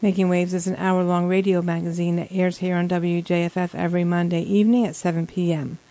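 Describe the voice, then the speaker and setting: A woman's voice: lowish voice, level tone, a little fast, warm tone. A woman hosting a radio magazine, reading show introductions.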